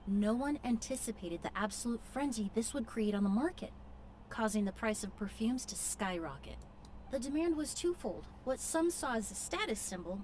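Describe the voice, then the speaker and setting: A woman's voice: a little high, darkish tone, a little hiss or hum, lightly acted. A woman playing a polite, scholarly young perfumer in an anime video game, formal and composed as she explains.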